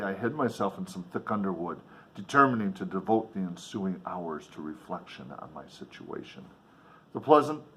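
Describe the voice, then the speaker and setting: A man's voice: on the low side, fairly bright tone, poor audio. A man reading a novel aloud in a dramatic, emphatic way, with casual offhand commentary along the way. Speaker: reader and commentator.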